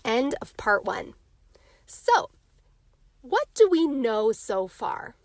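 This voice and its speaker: A woman's voice: fairly high pitch, big pitch swings, slow, dry recording. A woman narrating a storybook and hosting a read-along. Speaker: narrator and reading host.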